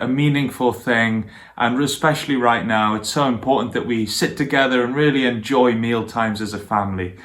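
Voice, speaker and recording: A man's voice: mid-range voice, a bit bright. Man reading a children's storybook. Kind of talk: children's storybook reading and friendly address to young listeners.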